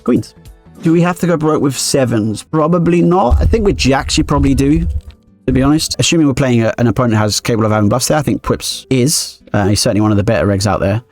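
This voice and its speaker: A man streaming his poker games live, thinking aloud quickly and analytically as he explains each decision. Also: mid-range voice, a little mellow, soft background music.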